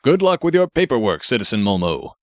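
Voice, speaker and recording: A man's voice: medium pitch, very animated, a little fast, no room sound, studio quality, close to an everyday voice. A man voicing a pompous, earnest superhero who speaks in formal, declamatory sentences with stubborn optimism, in an audiobook. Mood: solemnly logical.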